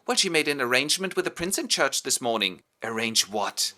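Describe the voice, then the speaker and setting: A man's voice: mid-range voice, big pitch swings, a little fast, sharp tone, pitched above the performer's own voice. A man voicing a spiteful jilted countess who mocks and needles. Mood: mocking. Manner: mocking, needling.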